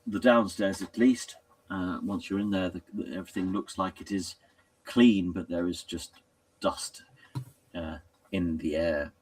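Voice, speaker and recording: A man improvising narration as a tabletop game master in a halting, hesitant way, often pausing mid-sentence. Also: low-pitched, steady tone, darkish tone, no echo, recorded from a distance.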